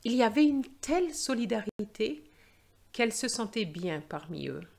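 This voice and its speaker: A woman teacher reading and presenting in a slow, expressive storytelling style for language learners. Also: fairly high pitch, creaky.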